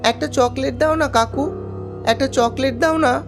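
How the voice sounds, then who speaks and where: A man's voice: very high voice, measured pace, gravelly, faint music behind, voice pushed up, exaggerated voice. A man voicing a nine-year-old ghost boy who coaxes and begs in a pleading tone. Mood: wheedling. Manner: pleading, coaxing.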